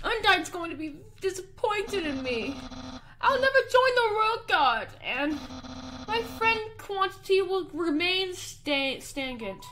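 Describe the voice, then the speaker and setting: Casting: boastful video game skeleton played by a woman, very high, theatrical, slow-paced delivery. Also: big pitch swings, pushed and bright, a little hiss or hum, full character voice, poor audio. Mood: dejected. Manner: pompous, theatrical declarations. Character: boastful skeleton warrior, bumbling and dramatic. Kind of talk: video game dialogue voiced over.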